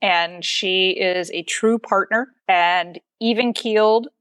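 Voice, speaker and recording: A woman with a medium-pitched voice talks casually in a group interview. She tells stories in an animated way, slipping into quoted dialogue. The sound is dry and close. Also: slow pace, clean tone, dry recording. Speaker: film producer, podcast guest.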